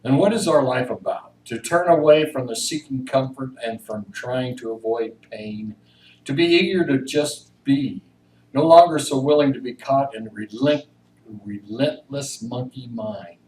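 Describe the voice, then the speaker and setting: A man reading a reflection aloud at a church service, with deliberate, emphatic phrasing. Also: mid-range voice, darkish tone. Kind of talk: introduction and read-aloud reflection. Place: church room, recorded from a distance. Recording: roomy, distant mic, faint noise.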